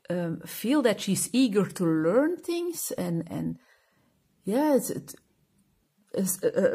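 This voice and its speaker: Flemish lady chatting slowly to her camera, lots of ums and restarts, english not her first language. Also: medium pitch, mellow, breathy edge. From Belgium. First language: Dutch (Flemish). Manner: hesitant, many fillers and restarts, searching for words. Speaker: coloring channel host. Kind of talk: casual chat to camera.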